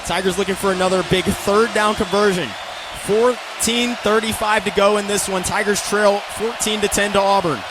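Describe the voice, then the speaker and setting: A man's voice: high voice, steady tone, moderate pace. An American man calling football play-by-play for radio in clipped, descriptive announcer phrasing. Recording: loud stadium and outdoor ambient noise.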